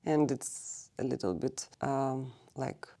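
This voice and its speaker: Slow, low-voiced woman from Russia. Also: gravelly, warm tone. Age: 30s. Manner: halting, searching for English words. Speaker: interviewee. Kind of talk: recorded oral history interview. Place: public library.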